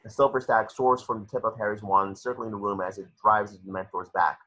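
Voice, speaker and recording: A man with a husky voice narrating screenplay stage directions at a table read over a video call. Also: lowish voice, steady tone, brisk, a bit bright, lo-fi sound.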